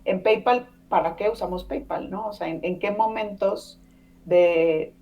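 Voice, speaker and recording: A woman's voice: medium pitch, a little slow, lo-fi sound. A Mexican woman in her thirties, a podcast guest talking casually and chattily, with many fillers and tag questions. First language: Spanish. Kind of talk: casual conversational interview. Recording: distant mic.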